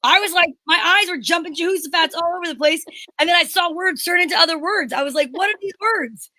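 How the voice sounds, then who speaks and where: Female co-host: sharp high voice, dry close sound, casual self-mocking banter. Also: quick pace, dry recording. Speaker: co-host and performer. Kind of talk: casual chat.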